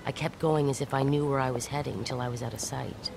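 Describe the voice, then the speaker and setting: An actress playing a tough, cynical young rebel in an audio drama, speaking in a wry, blunt way. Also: female voice, very deep voice, fairly even intonation, fast, creaky, music in the background. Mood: dry recounting. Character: cynical, tough woman, a captured rebel escapee.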